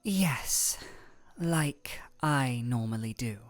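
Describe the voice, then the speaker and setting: A woman playing an aloof, regal ice elemental royal in a scripted fantasy audio roleplay, speaking in a formal, measured, deadpan way. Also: rumbling low, soft background music, exaggerated voice. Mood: cool, matter-of-fact.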